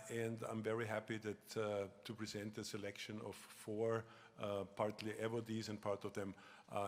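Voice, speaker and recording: Man with flat, halting delivery in a hall. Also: fairly low pitch. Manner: halting, many fillers. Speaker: conference moderator. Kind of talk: conference presentation. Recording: reverberant conference hall.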